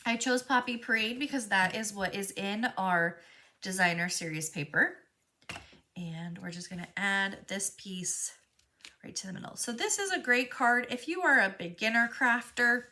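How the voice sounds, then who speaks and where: A woman's voice: mid-range voice, normal speed, sharp tone, slight background noise. A woman leading a live crafting class in a chatty, friendly way, with frequent ums and a stuffy, froggy voice from a cold. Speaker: craft instructor.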